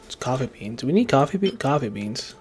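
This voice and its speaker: A man's voice: mid-range voice, very animated, brisk, over music. A man giving casual gameplay commentary, muttering and repeating words to himself. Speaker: game streamer.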